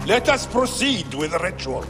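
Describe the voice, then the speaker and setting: A man's voice: high voice, sing-song, moderate pace. A man playing a solemn mystic seer of ancient Egypt, intoning rites in a ceremonial, declamatory way for a video game. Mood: commanding, ritual. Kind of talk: incantatory game cutscene acting. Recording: long cavernous reverb, loud music.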